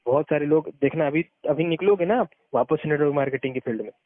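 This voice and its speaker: A man's voice: a little high, fast talker, no echo. A man giving casual, emphatic advice on a phone call, explaining and repeating his points for stress. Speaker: experienced former network marketer giving advice. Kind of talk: casual advisory phone conversation. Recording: muffled narrowband phone call recording.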